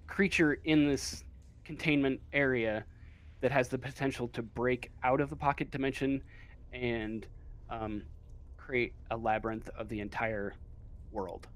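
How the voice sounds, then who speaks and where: A man's voice: medium pitch, steady tone, unhurried. A man improvising narration as game master of a tabletop game, hesitant and often searching for words.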